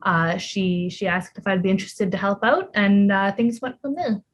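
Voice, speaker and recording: A woman's voice: mid-range voice, normal speed. A young woman, a PhD student being interviewed over a video call, answering in a friendly, thoughtful way with many fillers. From Ontario, Canada. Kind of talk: casual interview.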